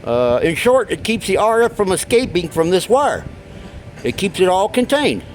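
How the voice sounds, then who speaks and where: A man's voice: sits high, sing-song, normal speed. A middle-aged American man giving a casual hands-on explanation in a folksy, informal way. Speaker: hobbyist giving radio tips. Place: indoors at a wooden workbench.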